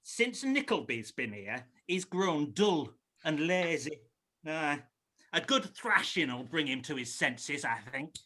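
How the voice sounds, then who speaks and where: An actor playing a mean, miserly schoolmaster who talks in an oily, complacent way. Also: male voice, fairly high pitch, big pitch swings, takes it slowly, bright, forceful tone, heavily acted. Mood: smug.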